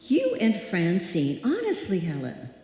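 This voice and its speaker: A woman plays a headstrong, proud lady insisting she is not afraid, in old-time radio suspense style. Her speech is slow and swings widely in pitch. It sounds in a long-echoing hall with music behind. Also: huge pitch swings, mellow. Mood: defiant, insistent. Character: stubborn, proud single woman walking home at night, putting on bravery.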